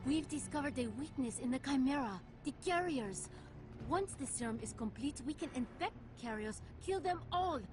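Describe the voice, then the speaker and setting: A woman's voice: high voice, lively intonation, normal speed. An actress playing an earnest, idealistic woman scientist with a pleading, intense manner in a video game cutscene. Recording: game audio with music behind.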